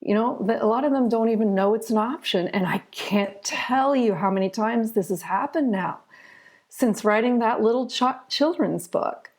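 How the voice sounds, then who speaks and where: A woman's voice: fairly high pitch, moderate pace, darkish tone. A middle-aged American woman speaking conversationally as an interview guest, explaining things through chatty anecdotes. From United States. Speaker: interview guest, children's author.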